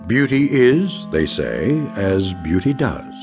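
An ominous radio drama narrator, wry and deliberate. His intonation rises and falls widely, with music playing clearly behind him. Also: fairly low pitch, sing-song. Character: measured, ominous, knowing male storyteller. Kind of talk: dramatic scripted anthology narration.

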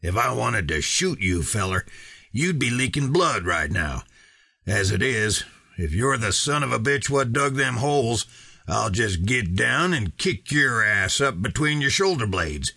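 A man voicing a burly middle-aged rancher who growls and curses in rough rural speech. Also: mid-range voice, sing-song, a little slow, sharp tone, no echo, clean studio sound, heavily acted. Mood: angry. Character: gruff burly middle-aged male rancher, hot-tempered.

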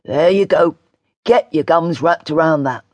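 A woman's voice: low voice, unhurried, a little mellow, dry recording. A woman voicing a sarcastic, slangy, indignant British teenage boy in an audiobook, offhand and sardonic. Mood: casual.

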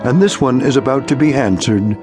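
An actor playing a solemn, knowing narrator of eerie tales, with measured, portentous dramatic delivery. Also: male voice, medium pitch, lightly acted. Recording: loud music behind narration.

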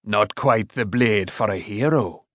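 A man voicing a stout old smith in a storybook, a gruff but kindly mentor who is wry and commanding. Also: lowish voice, a little slow, fairly bright tone, dry recording, studio quality. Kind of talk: storybook character voice.

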